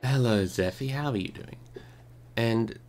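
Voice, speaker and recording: A man with a creaky voice, hosting a livestream where he chats casually and reads a book aloud expressively. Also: medium pitch, a little slow, a little mellow, a little hiss or hum. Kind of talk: casual livestream chat and expressive storybook narration.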